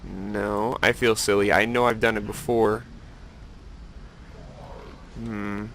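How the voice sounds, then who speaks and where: A man's voice: on the low side, little pitch movement, takes it slowly, creaky, fairly bright tone, slight background noise, rough recording. A man giving casual, rambling gameplay commentary, thinking aloud with playful self-mockery. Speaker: gamer narrating his own playthrough.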